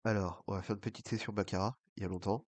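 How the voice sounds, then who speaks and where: A man's voice: on the low side, fairly even intonation, fast talker, dry recording. A man with a cold and a blocked nose, casually talking through an online baccarat session and muttering to himself between bets. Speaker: online casino streamer.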